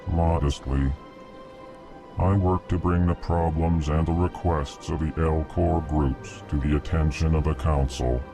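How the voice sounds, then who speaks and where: A man voicing a huge, courteous alien ambassador in a video game, speaking in a formal monotone and flatly naming each feeling before he speaks. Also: very deep voice, a little slow, gravelly, over music, exaggerated voice. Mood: polite, deadpan. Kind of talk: video game character dialogue.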